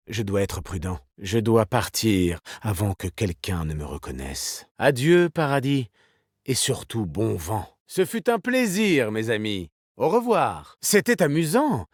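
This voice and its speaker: An actor playing a suave, vain, elegant male weapons designer in a video game, with a theatrical, smug and courteous, smoothly ironic manner. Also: on the low side, no room sound, studio quality. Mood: amused, charming. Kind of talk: video game character voice lines.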